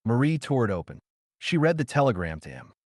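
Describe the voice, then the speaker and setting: A man reading a biography aloud as an audiobook narrator, in an expressive, clearly enunciated storytelling manner. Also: mid-range voice, a little fast, no room sound, clean studio sound.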